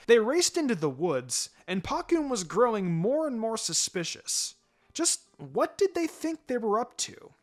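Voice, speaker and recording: A story reader delivering scripted fan fiction narration. The delivery is animated in pitch, and soft music plays underneath. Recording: music behind the voice.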